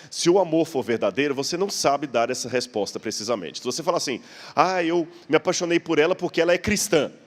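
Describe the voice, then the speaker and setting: A man with a Brazilian accent preaching in a conversational, explanatory way, posing rhetorical questions with a slight stammer. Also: medium pitch. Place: large church hall. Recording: long hall reverb.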